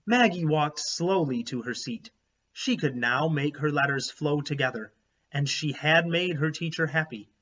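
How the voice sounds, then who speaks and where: Higher-voiced man reading aloud to children. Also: unhurried, husky, muffled recording. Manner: animated, emphatic storytelling with questions to listeners. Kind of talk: expressive children's read-aloud narration with asides to young listeners.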